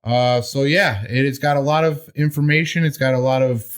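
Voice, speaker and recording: Male co-host, middle pitch, easygoing news recap, casual swearing. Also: fairly even intonation, moderate pace, clean tone. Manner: laid-back, swears casually, laughs often. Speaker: podcast co-host. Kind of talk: casual chat, reading news.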